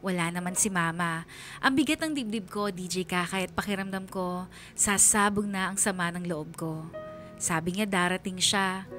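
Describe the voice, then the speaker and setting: Actress as wounded young daughter, first-person radio drama narration, forceful bright tone, bitter and emotional. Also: female voice, mid-range voice, close to an everyday voice. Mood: heavy, bitter. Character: angry, wounded young daughter confessing her story.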